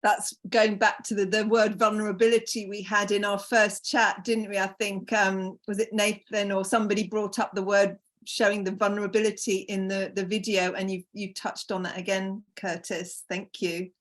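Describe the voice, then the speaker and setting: A woman's voice: medium pitch, little pitch movement, normal speed, few pauses. A woman with a British accent leading an online group conversation over a video call, reading out chat comments and inviting people to speak. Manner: encouraging, reads out chat comments. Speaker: facilitator.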